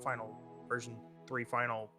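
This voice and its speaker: A man's voice: medium pitch, flat delivery, normal speed, sharp tone. A man giving casual, wry commentary over a video game, jumping in with asides as he banters. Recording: game music under the voice. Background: video game music.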